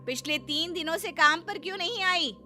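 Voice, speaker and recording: A woman voicing a stern, demanding mistress of the house in an animated moral story, in a sharp, bossy manner. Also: very high-pitched, normal speed, soft background music, voice pushed up, exaggerated voice. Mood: stern, annoyed.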